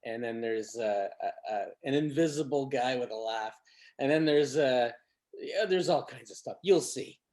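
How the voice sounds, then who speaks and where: Guy, normal pitch, casual, offhand, kinda hesitant. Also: moderate pace, darkish tone. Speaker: voice actor. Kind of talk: casual chat.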